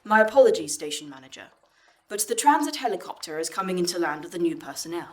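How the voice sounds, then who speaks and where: A woman voices a female artificial intelligence in a sci-fi audio drama. It talks very quickly in a lowish voice, formal, courteous and efficient. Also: expressive, close to an everyday voice. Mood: polite, businesslike. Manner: formal, brisk. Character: polite, efficient female facility A.I. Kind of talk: audio fiction acting.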